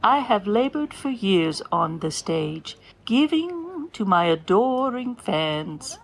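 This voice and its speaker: A woman's voice: mid-range voice, very animated, takes it slowly, slight background noise, rough recording. A woman playing a pompous grand old stage dame with a haughty, theatrical British accent. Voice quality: haughty, theatrical, self-important. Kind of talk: comedy podcast sketch.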